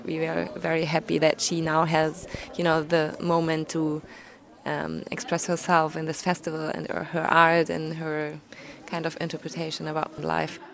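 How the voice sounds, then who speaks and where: A woman festival organizer speaking in a measured way, with pauses. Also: deep voice, completely flat delivery, creaky, fairly bright tone. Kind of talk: interview.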